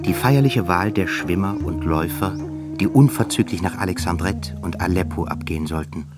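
An actor playing a solemn literary narrator of a war epic in a German radio play. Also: male voice, deep voice, moderate pace, close to an everyday voice. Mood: measured. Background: music.

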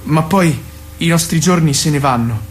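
A man acting a higher-voiced, teasing, insistent lover. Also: moderate pace, slight background noise, lightly acted. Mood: lyrical, yearning. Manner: teasing, probing, by turns lyrical and confessional. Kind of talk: radio play dialogue and monologue.

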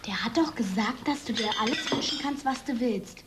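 A woman playing a lively, bossy child in a German drama. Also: on the high side, moderate pace, noisy background, natural voice. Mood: eager, insistent. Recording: music behind the voice.

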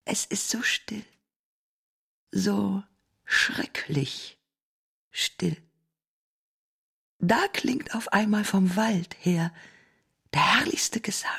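A woman narrating a fairy tale in an experimental radio play, theatrical and deliberate, sometimes dropping to a hushed near-whisper. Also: mid-range voice, creaky, exaggerated voice. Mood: hushed, grave. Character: storyteller of a dark, dreamlike fairy tale. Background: music.

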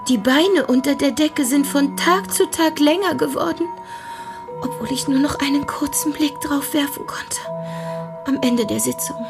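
A woman acting in a German radio drama as an overwrought deaconess testifying to police, halting and agitated. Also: high voice, vocal fry, music in the background. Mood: hushed, uneasy. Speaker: actor in a radio play.

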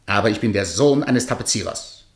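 An actor playing a strict, jealous guardian in a radio drama, stern, sarcastic and lecturing. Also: male voice, lowish voice, fairly quick, reverberant, lightly acted. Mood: scolding, sarcastic. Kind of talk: theatrical radio drama acting.

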